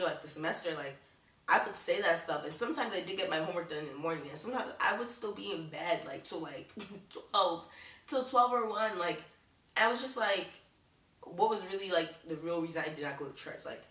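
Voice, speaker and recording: Chatty young woman, bright echoey voice. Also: on the low side, distant mic. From Baltimore, USA. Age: young adult. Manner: chatty, leans on "like" constantly. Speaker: vlogger. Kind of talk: casual talk to camera.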